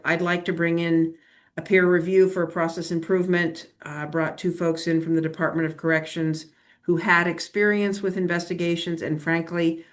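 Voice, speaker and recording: A woman administrator explaining agency events to an advisory council at a meeting, in a measured way with many fillers, speaking into a laptop in a meeting room. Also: low-pitched. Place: meeting room, same room as a colleague. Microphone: laptop microphone.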